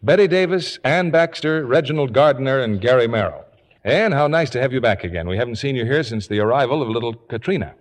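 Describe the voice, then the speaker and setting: Animated, echoey male radio announcer. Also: mid-range voice, fairly quick. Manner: polished, emphatic sales delivery. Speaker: radio show host and announcer. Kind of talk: 1950s radio announcing, scripted ad. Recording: echoey old radio studio stage.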